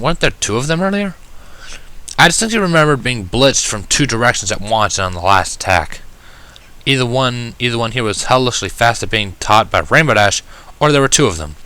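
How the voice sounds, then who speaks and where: Bright-voiced man narrating a story. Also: mid-range voice, rough recording. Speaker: audiobook reader of fan fiction. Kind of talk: first-person story narration.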